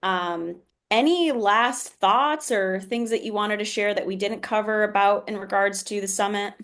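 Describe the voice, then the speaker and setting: A woman's voice: medium pitch, unhurried, a bit bright. A woman hosting a business podcast in an easy, conversational tone. She is friendly and chatty, drifting through her questions with little fillers. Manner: friendly, chatty, laughs often. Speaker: podcast host. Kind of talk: casual podcast interview.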